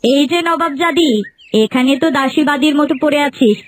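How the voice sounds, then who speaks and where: A woman voicing a haughty, cruel, scheming young wife in a cartoon, bossy and sharp-tongued. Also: high voice, normal speed, slight background noise, lightly acted. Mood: bossy, scornful.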